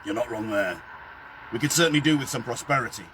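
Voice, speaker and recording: A man's voice: medium pitch, fast talker, a bit bright, slight background noise, lightly acted, rough recording. A man voicing a wry, stoical older local in a story reading, folksy and emphatic in his encouragement. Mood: earnest, encouraging.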